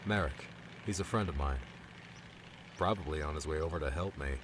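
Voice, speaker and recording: A man's voice: very deep voice, fairly quick, noisy background. A man playing a laid-back, protective rescuer with dry humor in an audiobook. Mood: wry. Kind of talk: audiobook character dialogue.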